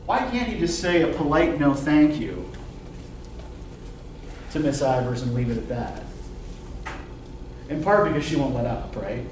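A man's voice: on the high side, animated, unhurried, long pauses, darkish tone. A middle-aged man giving a university literature lecture in a questioning way, often checking with "right?". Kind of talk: classroom lecture. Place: classroom, indoors. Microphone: distant camera microphone, speaking through face mask. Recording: roomy classroom from far off, faint noise.

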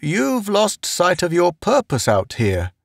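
A man puts on a solemn, judicious spaceman on an inspection visit. The voice sits somewhat high and moves slowly and gravely, weighing each phrase, in a dry audiobook recording. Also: sing-song, no room sound, exaggerated voice. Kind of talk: audiobook character voice.

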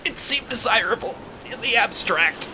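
A woman playing an earnest, excitable secret agent leading a team in a comedy-adventure podcast, with a raspy voice. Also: on the high side, moderate pace, background noise, close to an everyday voice. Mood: panicked.

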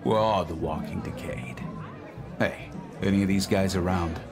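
An actor playing a weary, hard-boiled antihero in a noir video game, terse and world-weary. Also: male voice, low-pitched, fairly even intonation, normal speed, music in the background, crowd noise. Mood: grim. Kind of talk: noir game dialogue and inner monologue.

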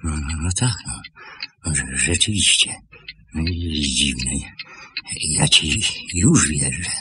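A lonely old shopkeeper in a radio play, bewildered and gentle. His low voice moves very slowly, stumbling and repeating words. A faint noise lies under the old recording. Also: lively intonation, full character voice. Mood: bewildered, hesitant. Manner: hesitant, stammering, repeating words. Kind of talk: Polish radio play acting.

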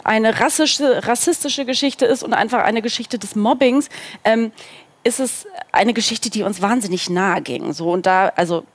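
High-voiced woman, casual interview guest. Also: moderate pace. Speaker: guest, film producer. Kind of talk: casual talk-show interview. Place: indoor talk-show studio. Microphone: headset microphone.

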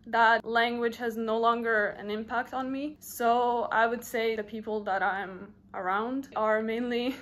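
A woman's voice: on the high side, fairly even intonation, unhurried, few pauses, fairly bright tone. Casual young woman. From Lithuania. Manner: casual, filler-heavy. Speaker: interviewee. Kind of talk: casual interview answers.

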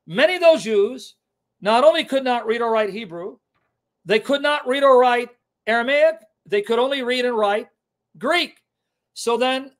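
A middle-aged man whose first language is Syriac, teaching on a live stream from his home kitchen into a webcam, emphatic and repeating key terms. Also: very high voice. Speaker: online apologetics teacher.

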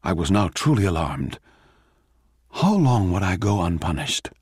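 An envious, ageing composer making a theatrical radio-drama confession. His low-pitched voice swoops widely as he broods in anguish, and the delivery is slow and measured. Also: very animated, a little mellow, slightly rough, dry recording, clean studio sound, exaggerated voice. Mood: confiding, uneasy. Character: bitter ageing male court composer, anguished, envious, confiding. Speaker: actor reading a stage play as narrator. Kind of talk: dramatic scripted monologue for radio.

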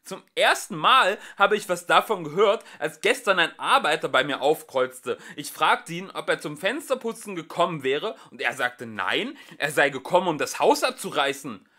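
A man's voice: a little high, big pitch swings, moderate pace, hardly any pauses, sharp tone, pitched above the performer's own voice. A man voicing an indignant ordinary man defending his house in an audiobook reading, talking in an exasperated, protesting way.

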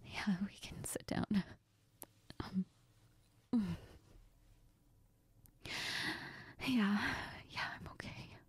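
A woman playing a heartbroken young woman crying to her best friend in an intimate audio role-play, halting and apologetic, often slipping into whispers. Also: medium pitch, fairly even intonation, slightly rough. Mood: shaky, holding back. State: crying, tearful, sniffling.

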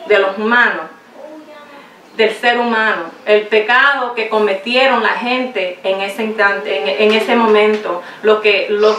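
A woman in her forties gives a Good Friday sermon at a small lectern. She speaks slowly, hunting for words and sometimes switching to English. The sound is roomy and distant, with a faint hiss behind her. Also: medium pitch, steady tone, fairly bright tone. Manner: halting, searches for words, slips into English. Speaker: pastor's wife preaching. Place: small home room set up as a chapel, indoors. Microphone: far camera, no visible mic. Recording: echoey room from a far camera.

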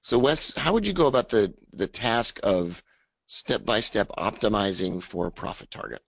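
A man with a raspy voice hosting a casual trading webinar, upbeat as he talks through his charts. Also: on the low side, sing-song, moderate pace, fairly bright tone, dry recording. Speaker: webinar host. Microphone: studio mic, screen share.

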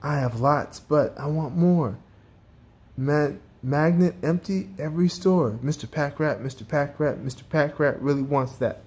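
A reader voicing a greedy, excitable male packrat from a children's storybook, theatrical and exclamatory, whiny when upset. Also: medium pitch, measured pace, natural voice. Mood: greedy, excited.

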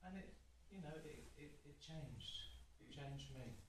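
A man's voice: medium pitch, animated, a little slow, background noise. A middle-aged Scottish-born man telling a chatty, rambling story to an audience as a spiritual medium, with restarts and laughs. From Scotland. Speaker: spiritual medium giving a talk. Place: large hall with a painted mural, indoors. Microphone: distant audience camera phone. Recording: echoey hall from far off.

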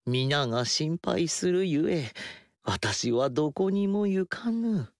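Game VO: a mild young ruler, slow, lively pitch, polite. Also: male voice, no echo, clean studio sound. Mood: gentle. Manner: soft-spoken, polite and old-fashioned. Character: young male ruler, gentle and self-effacing. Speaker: game character. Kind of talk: game dialogue acting.

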